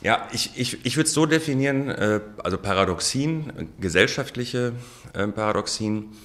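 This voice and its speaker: A man's voice: medium pitch, animated, normal speed, fairly bright tone. A man in his forties, a sociologist giving a radio interview, thinking aloud with frequent ums and restarts. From Germany. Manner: hesitant, many ums, restarts. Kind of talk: radio interview, thoughtful answers.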